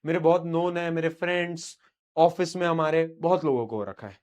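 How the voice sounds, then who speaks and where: A young man with a fairly high voice teaching a live online lesson. His throat is sore and he coughs now and then. He talks in a loose, teasing way with his students. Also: moderate pace, darkish tone. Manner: informal, teasing, addresses students as beta.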